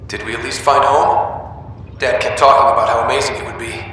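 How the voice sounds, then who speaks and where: A man playing a confused, distressed young brother in a coma, halting and bewildered, in a dramatic video game scene. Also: mid-range voice, steady tone, fairly bright tone, natural voice. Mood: shocked, distressed. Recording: distant, very echoey hall, slight noise.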